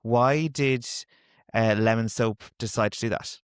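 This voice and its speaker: A man's voice: mid-range voice, measured pace, clear, resonant tone, no room sound, studio quality. A man hosting an interview podcast, hesitant with many ums as he asks his questions. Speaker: podcast host, drama teacher. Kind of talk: casual interview chat.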